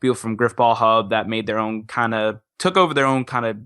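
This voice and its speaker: Bright-voiced young man chatting enthusiastically. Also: fairly low pitch, fairly even intonation, fairly quick, clean tone, dry recording, studio quality. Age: young adult. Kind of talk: casual enthusiastic chat.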